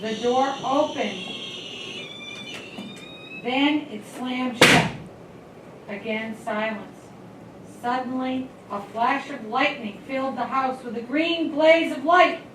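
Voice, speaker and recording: A woman's voice: high voice, slow, fairly bright tone. A woman reading a scary story aloud as scripted narration. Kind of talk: slow spooky story reading. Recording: very noisy, echoey, distant microphone.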